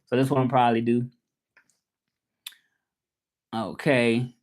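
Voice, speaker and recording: A man's voice: mid-range voice, flat delivery, slow, darkish tone. A man in his thirties from Memphis with a Southern accent, chatting loosely on a livestream, greeting viewers and repeating himself as he talks. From Memphis, Tennessee. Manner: loose, repetitive, greets viewers and urges subscribing. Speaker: livestream host and French teacher. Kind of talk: casual livestream chat.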